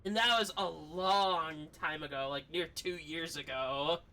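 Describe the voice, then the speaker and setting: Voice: medium pitch, unhurried, bright, forceful tone. A streamer commenting playfully on a game, reading its text aloud and stumbling and swearing along the way.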